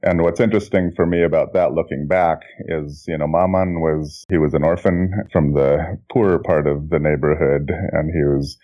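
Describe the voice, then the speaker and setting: Very deep-voiced reflective man, lo-fi. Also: fairly even intonation, normal speed, hardly any pauses. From Indonesia. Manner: reflective, restarts sentences, chuckles often. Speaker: guest, children's book author and graphic designer. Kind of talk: relaxed interview conversation. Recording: dull lo-fi audio.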